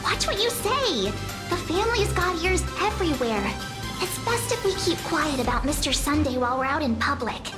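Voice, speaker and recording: An excitable young girl adventurer from a video game. Her voice sits extremely high and swings about with lively, cheeky chatter. Music plays clearly behind her. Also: moderate pace. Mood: hushed caution.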